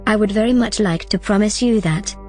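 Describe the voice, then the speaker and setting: A coolly persuasive female AI played by a woman speaks at a medium pitch over faint music. The delivery is composed, determined and matter-of-fact, as acted for sci-fi audio drama. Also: brisk, a little mellow, natural voice. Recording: music behind the voice.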